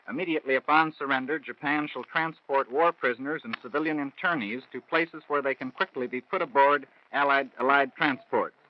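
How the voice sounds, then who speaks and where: An American man reporting news in a 1940s radio style. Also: mid-range voice. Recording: dull vintage 1940s radio broadcast.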